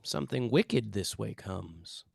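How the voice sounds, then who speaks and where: A man's voice: on the low side, expressive, takes it slowly, creaky, slightly rough, no echo, studio quality, heavily acted. A man playing an intense, earnest young amateur paranormal investigator in a script read, self-important and conspiratorial. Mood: insistent, solemn. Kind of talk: table read of a TV pilot script.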